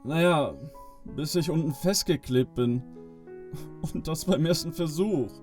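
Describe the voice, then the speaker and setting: A man playing Santa's sheepish, eager cousin in a children's Christmas audio play, with a hesitant, halting way of talking. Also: on the high side, darkish tone, faint music behind, lightly acted. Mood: grateful, delighted. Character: sheepish, eager male cousin of Santa, later Saint Nicholas.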